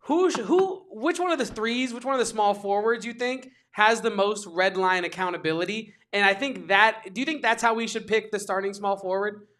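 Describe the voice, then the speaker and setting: A man co-hosting a sports podcast, talking casually and excitably, with emphatic repetition and quick restarts. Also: sits high.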